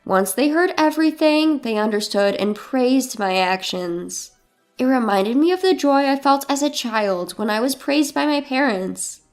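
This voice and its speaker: A woman's voice: sits high, expressive, unhurried. A woman reading a scripted first-person dramatic story as its narrator. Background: background music.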